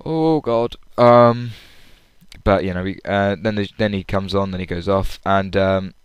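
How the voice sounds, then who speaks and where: A man with a fairly low, clean voice chats casually to himself on a personal audio log. He rambles through his story in an informal way, and the recording is close and dry with little room sound. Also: a little slow, clean tone, no room sound, lo-fi sound. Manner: rambling, acts out quoted lines loudly. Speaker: audience member recapping a comedy show.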